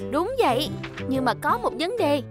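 A woman's voice: high voice, sing-song, normal speed, music in the background. A woman voicing a sweet, earnest, caring childlike character in a dubbed children's cartoon. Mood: bright, chatty.

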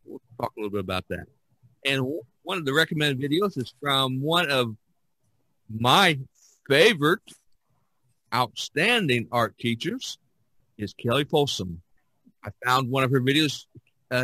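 An American man hosting a casual podcast, speaking in a somewhat halting way. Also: mid-range voice, pushed and bright, dry recording, low-quality recording. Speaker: podcast host. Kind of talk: casual podcast talk with announcing.

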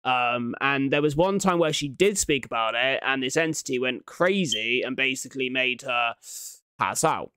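A man's voice: mid-range voice, normal speed, sharp tone, clear, resonant tone, dry recording. A young man giving casual reaction commentary in slangy, sweary language, recorded close on a desk microphone at home. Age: young adult. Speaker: reaction video host. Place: small room at home, indoors. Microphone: large desk microphone, close up.

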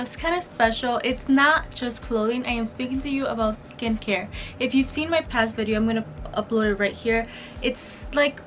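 A young woman with a Dominican accent talks through her skincare picks in a chatty, animated way, gesturing as she goes. Her voice sits a little high, and soft music plays quietly under her from a camera set up in a bright bedroom. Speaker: fashion and skincare vlogger. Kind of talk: casual chatty product review.

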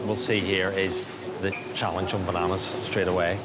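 A man's voice: deep voice, little pitch movement, moderate pace. A man in his fifties explaining things to a colleague at work. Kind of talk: workplace explanation. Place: inside a supermarket. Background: prominent music.

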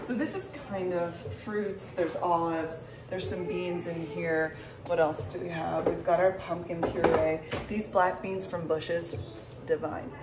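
A woman's voice: on the low side, steady tone, a little slow. A woman in her thirties chatting to her viewers while she puts away groceries in her home kitchen, filmed on a phone. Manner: chatty, asks viewers questions. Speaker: vlogger. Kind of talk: casual chat to camera. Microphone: handheld phone, often set down at a distance. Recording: echoey kitchen, mic far off, noisy.

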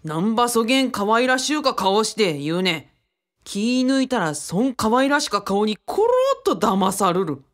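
A woman's voice: medium pitch, lively intonation, moderate pace, natural voice. A woman playing a friendly, easily flustered young man in a radio drama, muttering and exclaiming with a Kyushu Japanese accent. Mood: suspicious. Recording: music behind the voice. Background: music.

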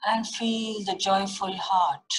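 A woman leading a guided meditation, giving gentle, unhurried instructions in short repeated phrases with long pauses. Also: medium pitch, fairly even intonation, rough recording. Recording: music behind the voice. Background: meditation music.

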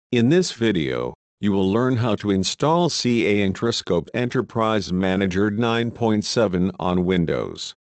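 A man reading a scripted instructional narration in a measured, procedural manner, as a tutorial voice-over. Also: deep voice, no room sound.

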